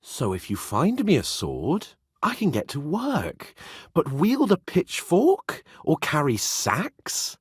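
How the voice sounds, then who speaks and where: A haughty young British nobleman for a medieval video game. His delivery swings widely in pitch, sarcastic and exasperated, with a slightly husky edge and a dry, close sound. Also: wildly swooping pitch, takes it slowly, no room sound, exaggerated voice. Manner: pompous, sardonic, exasperated.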